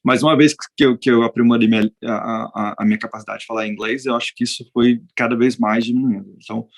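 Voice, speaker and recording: A Brazilian veterinarian answering interview questions in a relaxed, hesitant way, with frequent restarts. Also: male voice, medium pitch, fast. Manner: hesitant, many restarts and fillers. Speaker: veterinarian guest. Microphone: video call.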